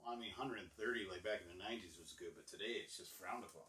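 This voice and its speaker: A man's voice: deep voice, fast talker, pushed and bright. A man co-hosting a podcast, making blunt, opinionated remarks in casual chat about movies. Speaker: podcast co-host. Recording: roomy echo, mic distant.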